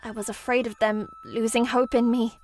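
Woman acting as needy perfectionist office lady, twenties, anime dub, high voice, melodramatic, music behind. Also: little pitch movement, moderate pace, lightly acted. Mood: wistful. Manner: melodramatic, whiny when distressed, composed at work. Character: perfectionist twenties career woman, anxious, dramatic, secretly needy.

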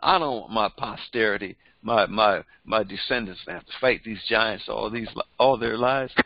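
A man's voice: lowish voice, moderate pace, vocal fry, no room sound. An older man, a pastor, preaching a Bible lesson in a fervent, repetitive cadence through a headset microphone in a small studio. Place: small indoor studio before a printed backdrop. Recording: dry, slightly dull sound.

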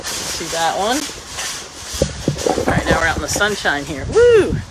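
A woman's voice: low-pitched, wildly swooping pitch, unhurried. A middle-aged woman hosting a casual on-camera vlog, chattily asking questions in an informal, exclamatory way. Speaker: cooking vlog host interviewing a farmer. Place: open-sided farm market and sunny parking lot outside. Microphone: phone at arm's length. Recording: clear outdoor ambient noise.

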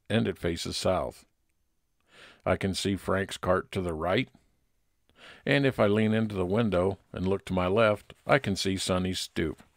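A man playing a friendly, observant private investigator, narrating an old-time radio detective story in a measured way. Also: low-pitched, gravelly, dry recording, clean studio sound. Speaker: narrator and lead actor.

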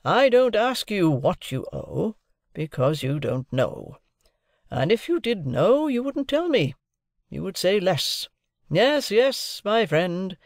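A man performs a domineering, middle-aged lawyer in an audiobook. He is brusque and emphatic, as if questioning a witness. The pitch is high and swings widely in a dry, close recording. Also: big pitch swings, vocal fry, a little mellow, no echo, heavily acted. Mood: triumphant, overbearing. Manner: cross-examining, emphatic, deliberate. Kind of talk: audiobook character dialogue.

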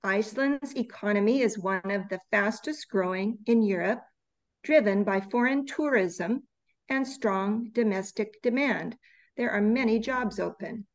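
A woman teaching an online English class over a video call, reading slides slowly and patiently correcting students. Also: medium pitch. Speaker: English tutor.

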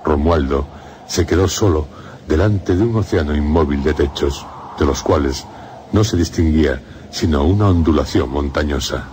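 A man with a Castilian Spanish accent narrating a literary radio drama in solemn, measured phrases. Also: extremely low pitch, darkish tone, a little hiss or hum. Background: music.

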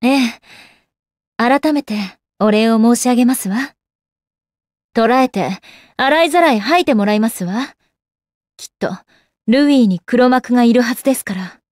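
A woman's voice: fairly high pitch, moderate pace, pauses often, darkish tone, no room sound, studio quality, natural voice. An actress playing a proud, composed young goddess warrior in a game, with refined, ladylike and formal speech. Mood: composed, polite. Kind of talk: Japanese anime game character acting. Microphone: close dry studio microphone.

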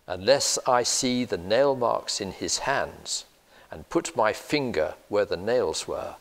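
Older male reader, slow, deliberate scripture reading with church reverb. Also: on the low side. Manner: steady, deliberate reading aloud. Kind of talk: Bible reading. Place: church, indoors, seated at a lectern.

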